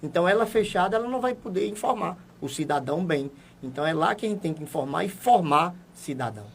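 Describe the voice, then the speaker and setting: A man's voice: high-pitched, expressive, quick pace, creaky, recorded from a distance, a little hiss or hum. A man, a municipal education secretary, giving a formal, explanatory radio interview and building emphasis as he goes. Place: radio studio.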